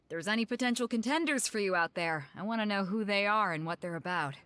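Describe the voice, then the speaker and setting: A woman's voice: mid-range voice, animated, fast talker, a bit bright, no echo, close to an everyday voice. An actress playing a bold, confident woman ship captain in a video game, brash and teasing.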